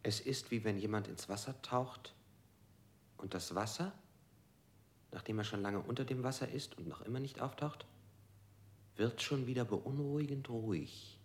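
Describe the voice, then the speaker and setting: A man's voice: medium pitch, breathy edge. An actor playing an evasive man under interrogation, rambling in strange images and sometimes whispering. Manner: dreamy, halting associations, sometimes whispered. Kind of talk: modernist radio play acting.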